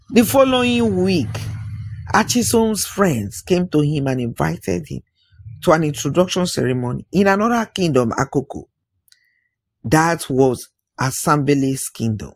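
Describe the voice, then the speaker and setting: Husky-voiced male storyteller, slightly high-pitched. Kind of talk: narrating an African folktale, oral storytelling.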